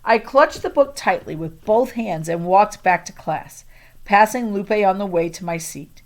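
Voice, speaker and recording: A woman reads a children's novel aloud as a first-person narrator. Her voice sits at a middle pitch and she tells the story expressively. Now and then she trips on a word and reads it again. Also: a little slow. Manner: stumbles and re-reads words. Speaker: audiobook reader.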